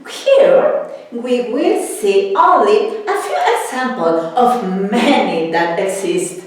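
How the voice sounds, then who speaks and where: A woman from Mexico whose first language is Spanish, speaking English with a Mexican Spanish accent, giving a careful educational talk with the odd soft laugh. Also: mid-range voice, expressive, takes it slowly. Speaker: independent researcher and dancer. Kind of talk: educational video lecture. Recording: very echoey room, distant from mic.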